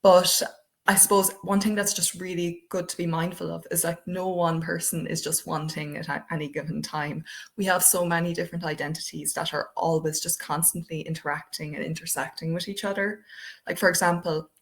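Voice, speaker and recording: A young Irish woman with an Irish accent and a low, deep-set voice hosts an online webinar. She talks in a chatty, loose way, filling gaps with "um" and "I suppose". She sounds as if she is speaking into a computer microphone on a video call. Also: steady tone, brisk. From Ireland. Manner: chatty, many fillers like "um" and "I suppose". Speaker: webinar presenter. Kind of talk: webinar presentation.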